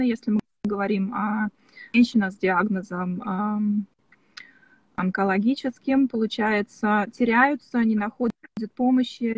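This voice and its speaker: A woman's voice: mid-range voice, no echo, poor audio. Woman, interviewer, hesitant, word-searching, dry close sound. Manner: hesitant, searching for words with restarts. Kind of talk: casual interview over video call.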